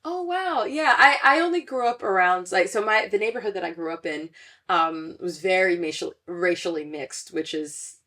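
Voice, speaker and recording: Young woman hosting a chatty podcast, lowish voice. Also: moderate pace, sharp tone. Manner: chatty, many fillers and restarts, laughs often. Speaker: podcast host. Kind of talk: casual chat.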